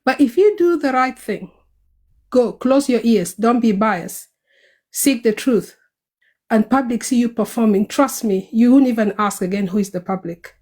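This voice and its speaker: A woman's voice: on the high side, lively intonation, moderate pace, darkish tone. A woman with a South Sudanese accent speaking earnestly and a bit hurriedly in an online group audio chat.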